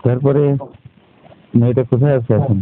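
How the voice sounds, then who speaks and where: A man's voice: mid-range voice, little pitch movement, normal speed. A man chatting casually on a private phone call, speaking in hesitant short questions with murmured fillers. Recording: tinny phone line with noise.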